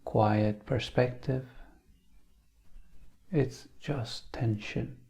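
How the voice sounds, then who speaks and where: Slow, mellow male meditation guide with creaky voice. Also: medium pitch. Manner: calm, unhurried, with long pauses.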